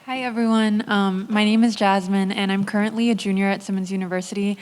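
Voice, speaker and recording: A woman's voice: mid-range voice, fairly even intonation, fairly quick, darkish tone, clean tone. A young woman college junior in her twenties introducing herself on a panel in a casual, slightly nervous way. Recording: hall reverb.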